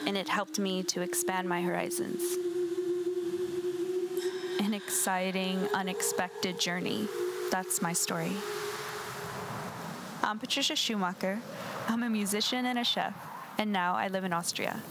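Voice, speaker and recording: A woman's voice: mid-range voice, steady tone, a little slow, pauses often, very noisy. A woman from Southern California, a musician and chef telling her own story as the narration of a short film. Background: loud film score music.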